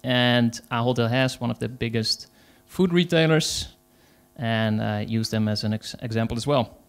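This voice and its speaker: Dutch-accented male conference speaker, rough-edged voice, halting delivery. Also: medium pitch. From Netherlands. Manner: hesitant, many fillers, pauses. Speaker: conference speaker, agile coach. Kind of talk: conference talk.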